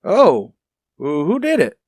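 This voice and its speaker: Male actor as defensive union boss, high-set lively voice, repetitive hedging patter, radio crime drama. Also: slow, no room sound, studio quality, exaggerated voice. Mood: flustered. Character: defensive, fast-talking union hall official, a man. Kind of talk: old-time radio detective drama acting.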